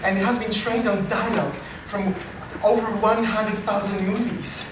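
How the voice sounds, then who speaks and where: A man with a French accent, an AI researcher hosting a live improv comedy show, talking in a dry, self-deprecating way with halting restarts. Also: sits high, little pitch movement, noisy background. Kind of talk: live comedy stage talk. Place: live comedy meetup venue. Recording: distant, very echoey live venue.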